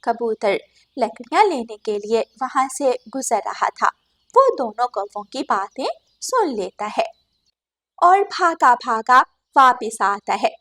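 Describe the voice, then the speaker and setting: Lady narrator telling a cartoon story, high voice, scripted. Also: expressive, takes it slowly, a bit bright.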